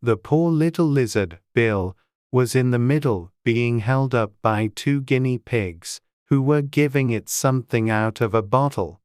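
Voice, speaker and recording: An unhurried storyteller reading a classic tale aloud, slow and measured with gentle expression. The voice sits at a middle pitch and sounds dry and close to the mic. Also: smooth, no echo. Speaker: audiobook narrator. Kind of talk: scripted storybook narration.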